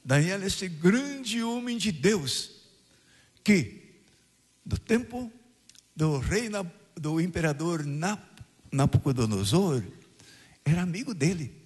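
An older Brazilian priest from southern Brazil, reading the gospel and preaching a homily at mass, with emphatic rising phrases and questions put to the congregation. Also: male voice, high voice, expressive, slow, pauses often, a little mellow, breathy edge. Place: church. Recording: radio broadcast of a mass in a reverberant church.

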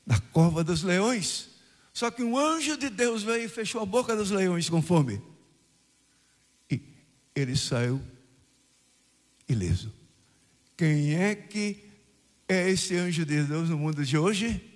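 An older man from southern Brazil preaches at mass. His voice sits a little high and is husky, and he often lifts it in emphatic questions to the congregation. The sound carries the long reverb of a church, as picked up for a radio broadcast. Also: lively intonation, slow, long pauses. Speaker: priest.

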